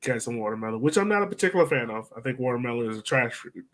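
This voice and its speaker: A man's voice: medium pitch, animated, rapid-fire, fairly bright tone. A man hosting a casual sports podcast, chatting in a blunt, opinionated way. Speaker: podcast host.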